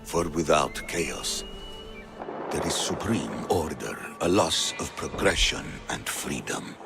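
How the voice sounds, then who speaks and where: A man playing a solemn, ancient father figure in a video game cutscene, speaking in a slow, portentous, gravelly way. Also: extremely low pitch, expressive, heavily acted. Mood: ominous, regretful. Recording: loud music under the voice.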